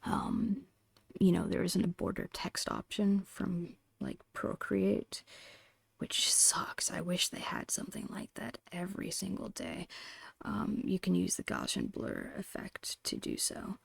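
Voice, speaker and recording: A woman murmurs through an ASMR drawing session in a breathy, often whispered voice. Her speech is very slow and full of heavy creak. The sound is close and dry, as she narrates in short, soft phrases. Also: fairly low pitch, very animated, dry recording. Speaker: digital artist hosting an art channel.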